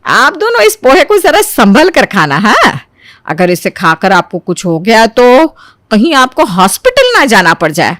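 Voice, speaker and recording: A woman's voice: a little high, wildly swooping pitch, measured pace, fairly bright tone, dry recording, studio quality, heavily acted. Woman playing a theatrical, swooping older mother-in-law. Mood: smug, sly. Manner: theatrical, exaggerated. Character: scheming, dramatic older mother-in-law, falsely sweet. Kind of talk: animated Hindi moral story voice acting.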